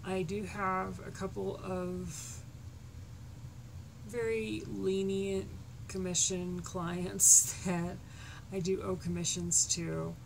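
A woman's voice: medium pitch, level tone, takes it slowly, gravelly. A woman in her thirties with a scratchy voice, talking in a rambling, hesitant way with many ums, filmed on a webcam in a small bedroom. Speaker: artist vlogging to supporters. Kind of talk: casual unscripted update. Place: small cluttered bedroom at night. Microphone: webcam at desk. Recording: noisy home webcam audio.

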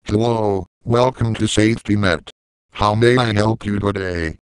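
A man's voice: fairly low pitch, unhurried, background noise. A man reading scripted narration for a horror video in a formal, announcer-like manner. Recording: loud music over the voice. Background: loud music.

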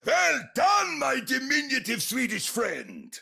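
An actor playing a boisterous, proud old armoured knight in a video game, with a German accent and a booming, bombastic delivery. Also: male voice, huge pitch swings, slow pace, bright, forceful tone, shouting, exaggerated voice. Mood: jovial, booming. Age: older. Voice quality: gravelly, husky, booming. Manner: bombastic, hearty.

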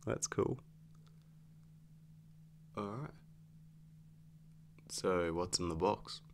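A weary, wry werewolf boyfriend acted by a man in an ASMR roleplay. His voice sits very low and he takes his time, halting and casual as he goes. A noticeable hum runs under the recording. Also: exaggerated voice. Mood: relaxed, curious. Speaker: roleplay voice actor. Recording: clearly audible background hum.

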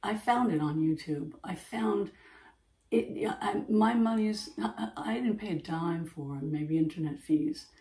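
A woman in her fifties with a gravelly voice, earnestly rambling to camera in her home art studio. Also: lowish voice, measured pace, mellow, recorded from a distance. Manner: earnest, rambling, repeats herself. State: throat irritation, clearing throat. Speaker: artist, channel host. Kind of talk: casual talk to camera. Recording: echoey room, voice from off-mic.

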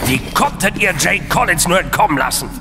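An actor playing a murderous demon tyrant in a horror audio drama, commanding and menacing. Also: male voice, high voice, sing-song, brisk. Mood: cruel, angry. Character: male demon master, raging, murderous tyrant over dwarfs. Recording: long reverb, music underneath.

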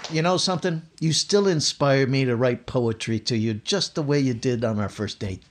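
A man's voice: mid-range voice, normal speed, crowd noise, background noise, close to an everyday voice. A man playing a bumbling, sentimental working-class husband and father in a 1950s radio comedy, folksy and blustery. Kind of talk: 1950s radio sitcom acting.

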